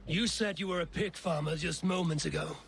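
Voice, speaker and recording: A man's voice: fairly high pitch, a little fast, gravelly, slight background noise. A man playing a hard, blunt Viking warrior in a video game, speaking in curt, commanding threats. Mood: scornful. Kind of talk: dramatic video game cutscene acting.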